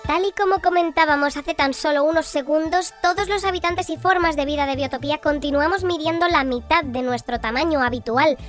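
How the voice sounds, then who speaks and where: A woman playing a composed, matter-of-fact radio host shrunk to half size, in a scripted radio drama, with a pitched-up voice. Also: brisk, few pauses, faint music behind. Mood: composed, announcing. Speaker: radio presenter. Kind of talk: scripted fictional radio bulletin and interview.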